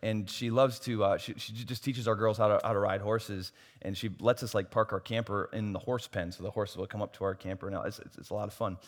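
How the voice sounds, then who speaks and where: A pastor preaching a sermon, losing his voice and swinging from soft asides to loud, emphatic points. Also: fairly low pitch, steady tone, brisk, few pauses. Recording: long hall reverb.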